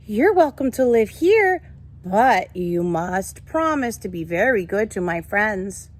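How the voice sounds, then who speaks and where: A woman's voice: mid-range voice, big pitch swings, slow. A woman voicing a polite, friendly male hermit crab in a picture book, exclaiming and wondering courteously. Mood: hopeful.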